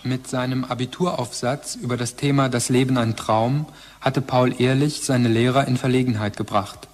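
A man plays a scholarly narrator in an audio drama. He reads formal text in a detached way, at a medium pitch. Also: steady tone, moderate pace, close to an everyday voice. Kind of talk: formal scripted narration, documentary-like.

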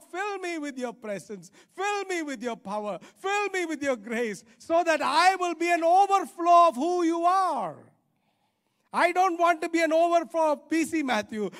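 Middle-aged man, high-pitched voice, emphatic preaching, repeated phrases, handheld mic on a church stage. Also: fairly bright tone. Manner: emphatic, repeats key phrases, rhetorical tag questions. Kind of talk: sermon. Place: large church auditorium stage, indoors. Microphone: handheld microphone.

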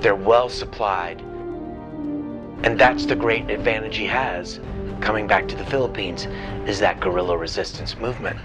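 Young male commentator, low-ish voice, emphatic. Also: moderate pace, loud music behind the voice. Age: young adult. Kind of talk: documentary interview commentary. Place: room indoors.

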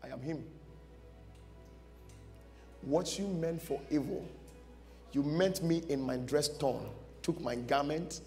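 Male pastor, higher-pitched, emphatic sermon storyteller in a reverberant hall. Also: slow, long pauses, dark tone. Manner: emphatic, repeats short phrases, acts out dialogue. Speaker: pastor preaching. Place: large church stage before a seated audience. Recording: music behind, long hall reverb.

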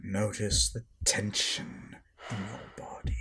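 A man playing a menacing, controlled Sith master in a guided-meditation roleplay, speaking deliberately and commandingly, often near a whisper. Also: on the low side, level tone, slight background noise. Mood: calm, hushed, controlling. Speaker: roleplay audio performer. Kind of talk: slow guided-meditation roleplay, breathy dramatic monologue. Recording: voice over loud background music.

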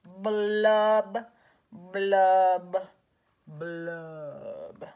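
A woman voicing a gloomy, grumpy male fish in a storybook read-aloud, with a slow, mopey, droning delivery. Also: mid-range voice, fairly even intonation, a little mellow. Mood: glum.

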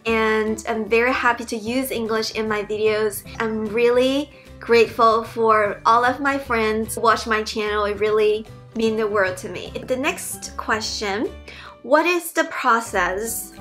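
A woman's voice: fairly high pitch, fairly bright tone. A young woman with a Mandarin Chinese accent, talking through a Q&A in a casual, earnest way and often restarting her sentences. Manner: chatty, earnest, with frequent restarts. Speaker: YouTuber and PhD student. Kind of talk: casual Q&A vlog talk. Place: bedroom, indoors.

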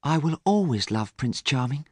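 A man's voice: medium pitch, expressive, moderate pace, slightly rough, no room sound, clean studio sound, pitched above the performer's own voice. A man voicing a romantic, hopeful young actress in an audiobook, in a sweet, gushing manner. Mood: tender.

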